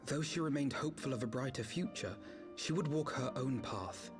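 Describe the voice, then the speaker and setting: A man's voice: mid-range voice, moderate pace, lightly acted. An actor playing an earnest young nobleman, formal and eloquent, with a grieving weight to his words. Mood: grave, sorrowful. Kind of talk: video game voice acting, dramatic dialogue. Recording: game music under the voice.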